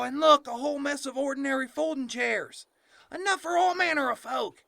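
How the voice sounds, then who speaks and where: A performer playing a young, sarcastic, excitable country character with folksy phrasing in a comedy audio drama. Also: very high voice, creaky, no room sound, clean studio sound, heavily acted. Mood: amazed, excited. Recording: faint ambient noise behind.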